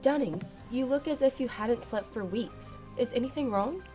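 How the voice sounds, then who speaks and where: A woman acting a high-voiced, concerned colleague. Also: normal speed, close to an everyday voice. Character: concerned, practical female colleague of a professor. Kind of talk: radio drama acting. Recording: music under the voice.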